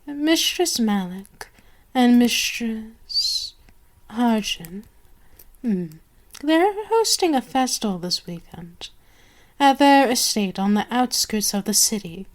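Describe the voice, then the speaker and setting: A woman voicing a sadistic, possessive vampire queen in an ASMR roleplay, with a haughty, teasing, drawn-out delivery. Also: on the high side, lively intonation. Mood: haughty, scheming.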